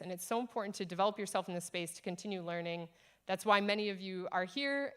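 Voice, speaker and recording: A woman speaking from a conference stage, earnest and encouraging as she presents leadership ideas to an audience. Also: medium pitch. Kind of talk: conference keynote talk.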